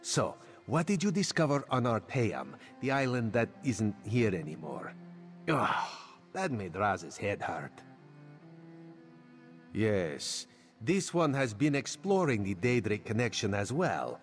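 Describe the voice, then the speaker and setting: Sly catfolk spy for a fantasy game: theatrical, wry, very lively intonation. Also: male voice, lowish voice, slow, faint music behind. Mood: exasperated. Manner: speaks of self in third person, theatrical. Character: sly, wry catlike Khajiit agent, adult male. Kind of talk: video game character dialogue.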